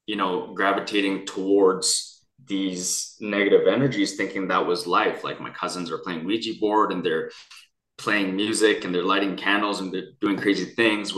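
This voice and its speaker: A man's voice: low-pitched, sharp tone. A young adult man from Canada, telling his story as an interview guest in an earnest, rambling way with many restarts. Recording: echoey room.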